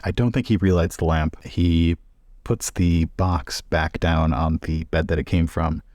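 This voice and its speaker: A man's voice: low voice, steady tone, unhurried, a little mellow, no room sound, studio quality. A man playing a tabletop roleplaying game, casually describing what his character does. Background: faint music.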